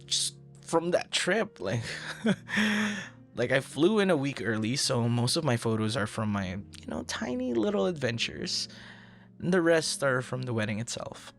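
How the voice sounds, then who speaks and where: A man's voice: medium pitch, sing-song, a little slow, gravelly, a bit bright, faint music behind, heavily acted. A man performing an audio roleplay as a friendly, easily flustered young traveler chatting intimately and casually with a stranger, teasing and stammering playfully. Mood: chatty, lightly flustered. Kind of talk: intimate boyfriend-style ASMR roleplay, casual conversation.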